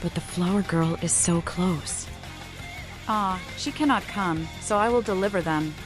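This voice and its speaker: A woman's voice: lowish voice, unhurried, music in the background, rough recording. A woman narrating gameplay and speaking cryptic lines for her player character in a theatrical manner. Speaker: gaming creator voicing her player character.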